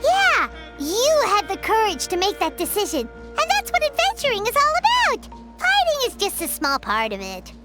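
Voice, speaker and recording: A woman voicing a tiny, childlike, cheerful and excitable floating fairy companion in a video game, full of exclamations. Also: very high-pitched, moderate pace, heavily acted. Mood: encouraging. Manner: speaks of herself in third person, exclamatory. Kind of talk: animated video game voice acting. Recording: loud music behind the voice.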